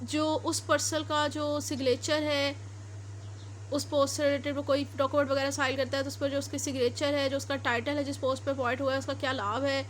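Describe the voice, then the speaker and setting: A woman's voice: high voice, level tone, brisk, slight background noise. A woman with a Pakistani accent giving an explanatory lecture as a law teacher, mixing two languages as she reads out and explains. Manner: explanatory, switches between Urdu and English. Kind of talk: lecture, reading statutes then explaining them.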